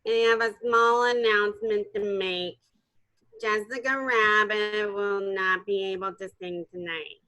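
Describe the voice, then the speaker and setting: A woman voicing a dour cartoon dog master of ceremonies, glum and deadpan, in an amateur table read. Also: mid-range voice, a little slow, poor audio.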